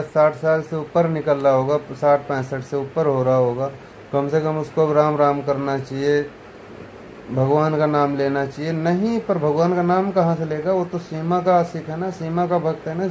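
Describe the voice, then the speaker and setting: A man giving a scornful, heated commentary, now muttering and now bursting out loudly. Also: a little high, fairly even intonation, moderate pace, slight background noise, poor audio. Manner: scornful and heated, rising to loud outbursts. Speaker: online commentator. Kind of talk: ranting video commentary.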